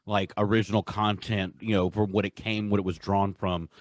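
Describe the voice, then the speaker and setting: A man's voice: lowish voice, little pitch movement, normal speed, dry recording, studio quality. A man co-hosting a podcast, chatting casually and enthusiastically through a countdown, repeating himself for emphasis. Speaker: podcast co-host. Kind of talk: casual pop-culture countdown chat.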